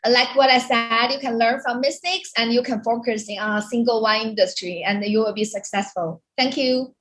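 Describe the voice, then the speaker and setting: A woman panelist answering informally in halting English with non-native phrasing. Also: on the high side, steady tone, fairly bright tone, poor audio.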